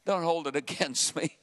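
A South African man preaching a sermon to his church, dramatic, dropping to hushed asides and then climbing loudly. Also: fairly high pitch. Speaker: pastor.

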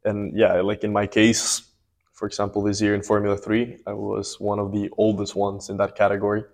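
Flat-toned young man, calm guest. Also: on the low side, brisk, creaky. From Ecuador and Miami. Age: 20s. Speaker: guest, race car driver. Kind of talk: interview answers.

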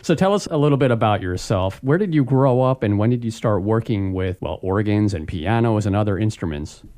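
A man's voice: lowish voice, animated, a little fast, a little mellow. A man, a deacon, hosting a faith radio interview with a jokey, friendly way of asking questions.

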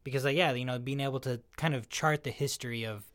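Rambling young man, mid-pitched voice. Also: fairly even intonation, quick pace, a bit bright. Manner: rambling, heavy use of "like", frequent restarts. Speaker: podcast co-host. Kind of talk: casual chat.

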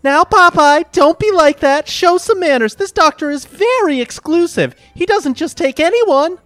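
A man's voice: very high voice, expressive, moderate pace, fairly bright tone, no room sound, voice pushed up. A man voicing a nagging, impatient cartoon woman who squeals, scolds and pleads. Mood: scolding.

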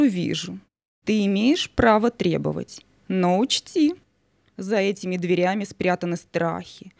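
A woman's voice: on the low side, creaky, darkish tone, no room sound. A woman playing the stern, majestic spirit of Night in a fairy-tale audio play, with a haughty, imperious manner. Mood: reluctant, warning.

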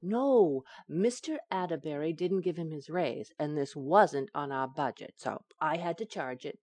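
Flippant young sitcom housewife, played by an actress: slightly low, very lively pitch, breezy wisecracks. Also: female voice, measured pace, dry recording. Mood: cheerful, matter-of-fact. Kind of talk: radio sitcom acting.

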